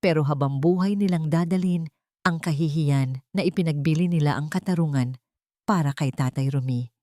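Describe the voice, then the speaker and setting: Lady doing dramatic audiobook narration, slightly low voice, really dry close sound. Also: normal speed, warm tone, no echo. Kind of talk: dramatic scripted story narration.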